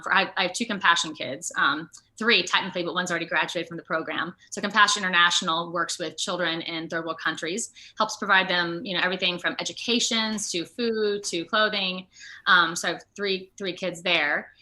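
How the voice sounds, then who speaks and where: An American woman from Ohio talking casually as an interview guest, earnest and animated, rambling from point to point. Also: fairly low pitch, brisk, low-quality recording. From Ohio, United States. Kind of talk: casual online interview chat.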